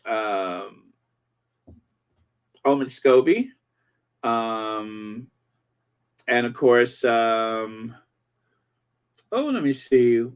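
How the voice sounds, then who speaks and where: Forties male host, unhurried and meandering, fond of pauses, chatty comment-reading commentary. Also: mid-range voice, lively intonation, clean tone. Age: 40s. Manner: rambling, slow, lots of fillers and pauses. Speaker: channel host.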